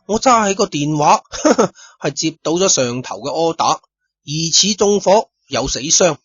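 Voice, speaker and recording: A man's voice: fairly high pitch, measured pace, slightly rough, no echo. A man telling a detective story in first person, speaking straight to his listeners with chatty asides. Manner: chatty, addresses listeners directly, lively asides. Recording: dull lo-fi dry studio recording.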